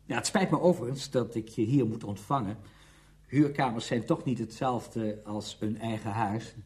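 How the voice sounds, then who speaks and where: An actor playing a conceited, sardonic conductor with a self-important, dismissive manner in a radio play. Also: male voice, mid-range voice, normal speed, darkish tone, lightly acted. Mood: polite, cool. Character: conceited, sardonic male orchestra conductor, blunt and worldly.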